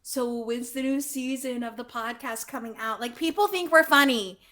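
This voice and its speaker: Woman co-host, loud and excitable, slightly high voice, swears a lot. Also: moderate pace, crowd noise. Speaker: podcast co-host. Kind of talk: casual chat. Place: home room, live-streaming.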